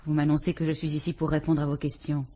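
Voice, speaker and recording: A young actress with a French accent playing a composed murder suspect who answers guardedly and with faint mockery in a vintage radio drama. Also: female voice, low voice, monotone, fast talker, warm tone. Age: young adult. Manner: cool, guarded, faintly mocking.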